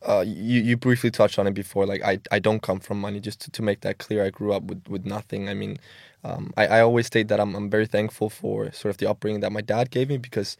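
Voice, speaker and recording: A twenty-one-year-old man, speaking quickly and earnestly with a gravelly, creaky edge. His voice sits low and dry, close to the mic with no room sound, and he often doubles words as he talks. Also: little pitch movement, no room sound. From Denmark. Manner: earnest, quick, with frequent word repeats. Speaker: podcast guest. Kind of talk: casual interview talk.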